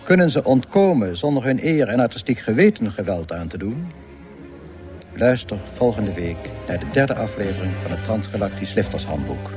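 A man narrating a comic space radio drama as a wry storyteller, in a measured, deadpan manner. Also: lowish voice, music in the background.

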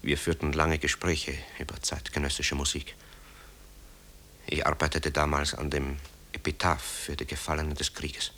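Radio drama son: extremely low register, haunted, grave, halting confessions. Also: male voice, monotone, sharp tone, heavily acted. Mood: tense, confiding. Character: tormented adult son, composer haunted by wartime guilt. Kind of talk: German radio drama acting.

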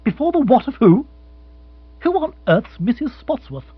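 A man's voice: sits high, big pitch swings, normal speed, slightly rough, voice pushed up, exaggerated voice. A man voicing an exasperated young lord in a comic audiobook, full of blustering, exclamatory upper-class slang. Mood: incredulous. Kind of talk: comic audiobook character acting. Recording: muffled, echoey recording with hum.

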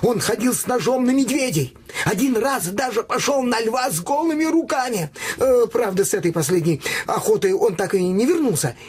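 An actor playing a cowed, world-weary doctor in a theatrical radio play, urging others to give up in an agitated, storytelling way. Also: male voice, extremely high pitch, expressive, normal speed, darkish tone, faint music behind. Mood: anxious.

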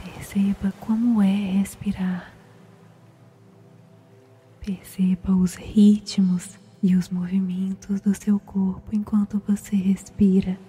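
A woman with a Brazilian accent softly whispers a guided sleep meditation in a slow, soothing, gentle manner. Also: mid-range voice, little pitch movement, slight background noise. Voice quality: breathy, whispery. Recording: music behind the voice. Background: meditation music.

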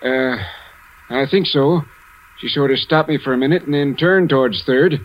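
An actor playing a deferential, casual elevator operator in a 1940s radio drama. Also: male voice, medium pitch, moderate pace, close to an everyday voice. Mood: helpful, matter-of-fact. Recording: dull 1940s radio recording.

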